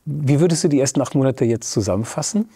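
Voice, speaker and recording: A man chats casually as an interviewer. His mid-pitched voice is dark and a little husky. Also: expressive, fast talker.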